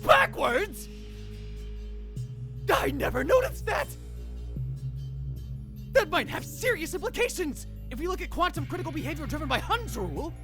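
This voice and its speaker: A man's voice: extremely high pitch, moderate pace, pauses often, heavily acted. A man voicing a dramatic young self-proclaimed mad scientist in a visual novel fan dub, theatrical and grandiose in manner. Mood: excited, bossy. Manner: theatrical, grandiose, swinging into excited exclamations. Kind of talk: visual novel fan dub, first-person narration and dialogue. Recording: music behind the voice. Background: music.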